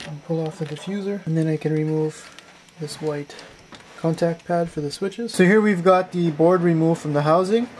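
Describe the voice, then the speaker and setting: Calm, flat-voiced man explaining a repair. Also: fairly high pitch, a little hiss or hum. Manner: calm, plain and methodical. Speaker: car electronics tutorial maker. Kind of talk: step-by-step how-to narration.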